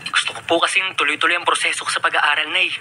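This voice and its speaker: Radio drama son, high-pitched, dutiful and earnest, Filipino, on a noisy recording. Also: male voice, fairly even intonation, very fast, bright, forceful tone. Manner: respectful, earnest. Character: dutiful son, eager, respectful, wistful about absent father.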